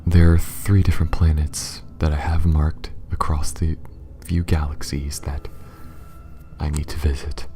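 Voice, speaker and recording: A man playing a calm, reassuring alien spaceship pilot in an ASMR roleplay, speaking softly and haltingly, often near a whisper. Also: a bass voice. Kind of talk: ASMR roleplay addressed to the listener.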